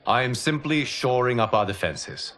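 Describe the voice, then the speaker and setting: An actor playing a calm, noble guardian deity and mentor in a video game, grave and gracious, with formal, measured phrasing. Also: male voice, medium pitch, lightly acted. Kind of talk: cinematic game cutscene acting.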